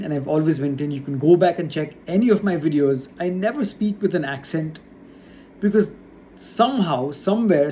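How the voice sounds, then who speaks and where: Earnest man with a mellow voice. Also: on the high side, slight background noise. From India. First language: not Hindi; English not first noted. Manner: earnest, measured, frequent fillers. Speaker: YouTuber. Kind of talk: casual talk to camera. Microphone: studio setup.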